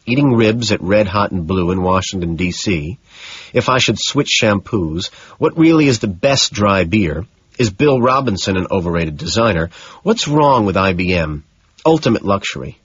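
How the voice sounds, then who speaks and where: A man's voice: on the low side, animated, moderate pace, a bit bright, slightly rough, highs cut off. A man reading scripted first-person fiction as an audiobook narrator.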